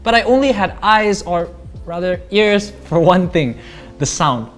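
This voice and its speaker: A man's voice: high voice, lively intonation, unhurried, faint music behind. A man in his thirties with a Malaysian accent, narrating a scripted product review as a tech reviewer.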